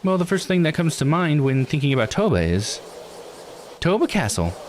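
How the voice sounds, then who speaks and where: Man putting on a high-pitched, eager guide. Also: sing-song, moderate pace, slight background noise. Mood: bright, informative. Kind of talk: visual novel character read-aloud.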